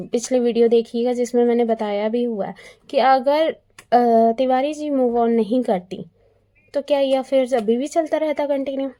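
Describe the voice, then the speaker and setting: Female online commentator, soft-edged voice on the higher side, chatty and opinionated, retelling gossip casually. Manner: opinionated, conversational, repeats phrases for emphasis. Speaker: YouTube commentator.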